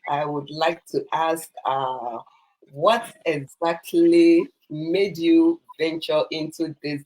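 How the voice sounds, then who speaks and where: A woman's voice: low-pitched, a little mellow, rough recording. Polite Nigerian woman co-hosting. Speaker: podcast co-host. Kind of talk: polite introduction and interview question.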